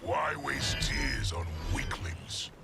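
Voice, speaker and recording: A gravelly tyrant emperor, commands with contempt in slow, weighty lines. His delivery swings widely in pitch, like a game villain built to rule. Loud music plays underneath. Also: expressive, heavily acted. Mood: cold scorn. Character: tyrannical warlord emperor, cruel, imperious man. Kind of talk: dramatic video game cutscene acting.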